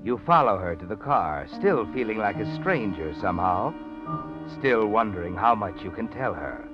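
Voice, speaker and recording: A man's voice: fairly low pitch, very animated, normal speed. A man playing the suspenseful narrator of a 1940s radio thriller, telling the story dramatically in scripted style. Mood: ominous. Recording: dull old radio recording. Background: loud dramatic music.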